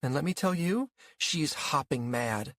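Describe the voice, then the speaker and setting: A man voicing an emphatic gossipy woman, raised pitch. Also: animated, brisk, fairly bright tone, no echo. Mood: astonished, dread. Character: gossipy woman passing on alarming news.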